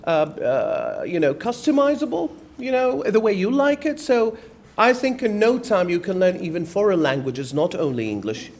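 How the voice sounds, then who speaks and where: A man in the audience of a conference workshop, speaking emphatically and filling pauses with "basically" and "you know". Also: sits high, very animated, gravelly, a little mellow, a little hiss or hum. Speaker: audience member, lecturer. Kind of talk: spontaneous comment. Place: conference room.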